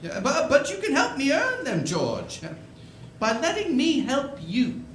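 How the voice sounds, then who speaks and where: A man playing a gentle, eager old guardian angel in a 1940s-style radio play, hesitant, stammering and quaintly polite. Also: very high-pitched, huge pitch swings, measured pace, echoey room, a little hiss or hum, exaggerated voice. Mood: eager, bashful. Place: indoor stage performance.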